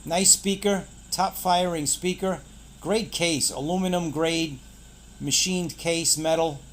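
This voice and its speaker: A man's voice: a little high, fairly even intonation, takes it slowly. A man hosting a casual product unboxing, pointing things out in short, clipped phrases. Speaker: product reviewer and channel host.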